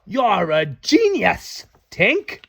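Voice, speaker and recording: A man's voice: high voice, huge pitch swings, very slow, vocal fry, bright, forceful tone, pitched above the performer's own voice, heavily acted. A man voicing an overwhelmed, gushing fairy who tends animals, in a storybook read aloud. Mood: admiring.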